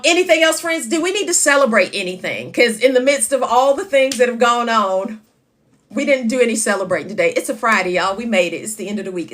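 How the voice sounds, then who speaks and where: A woman hosts a live chat in a loud, carrying voice that sits a touch high. She talks to her viewers casually and reads their comments aloud as they come in. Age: 50s. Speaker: livestream host.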